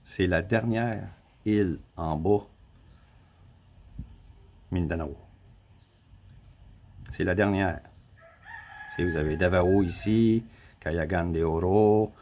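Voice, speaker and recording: A man with a Québécois accent, casually walking viewers through a map on his screen, rambling, repeating himself and clearing his throat often. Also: sits low, slow, long pauses, darkish tone. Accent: Québécois French. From Quebec. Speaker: vlogger. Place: home in the Philippines.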